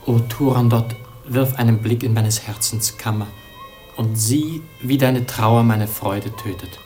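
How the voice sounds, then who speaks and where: An actor playing a lovesick young prince, declaiming with solemn, anguished fervour in a radio play. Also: male voice, medium pitch, unhurried, darkish tone, soft background music, lightly acted. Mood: solemn devotion. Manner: solemn, fervent, lofty declamation.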